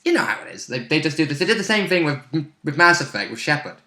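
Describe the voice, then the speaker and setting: Roomy-sounding, bright-voiced man chatting. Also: on the high side, big pitch swings, fast. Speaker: player in a tabletop roleplay game. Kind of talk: casual chat. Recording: roomy, echoing voice recording.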